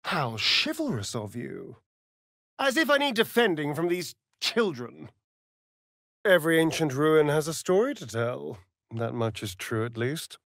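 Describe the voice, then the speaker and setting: A man with a British accent and a breathy voice playing a vain, sardonic, flirtatious vampire spawn in a video game, with an arch, mocking drawl. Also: a little high, very animated, a little slow, a bit bright, no room sound, clean studio sound, full character voice. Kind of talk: theatrical video game character acting.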